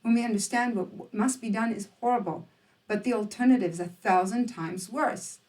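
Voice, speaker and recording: A woman's voice: on the high side, moderate pace, a little mellow, recorded from a distance. A woman delivering scripted opinion commentary in an emphatic, sardonic, rhetorical manner. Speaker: presenter, commentator.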